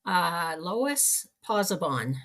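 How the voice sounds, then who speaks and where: A middle-aged Canadian woman with a low, gravelly edge talks as an interview guest. She tells her story casually and very slowly, pausing often to find her words. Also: big pitch swings, a bit bright. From small-town Manitoba, Canada.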